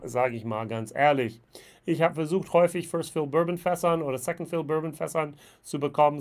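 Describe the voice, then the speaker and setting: A man in his forties from the United States with an American accent, speaking German as a second language in a casual whisky review vlog, chatty with many fillers. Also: fairly high pitch. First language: English. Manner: chatty, frequent fillers, gestures with bottles. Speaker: whisky vlogger. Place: indoor room with shelves of whisky bottles. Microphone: webcam at desk.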